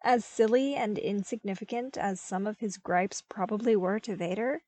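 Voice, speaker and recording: A woman reading scripted story narration as an audiobook narrator, with careful, expressive delivery. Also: mid-range voice, normal speed, no room sound. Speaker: podfic narrator.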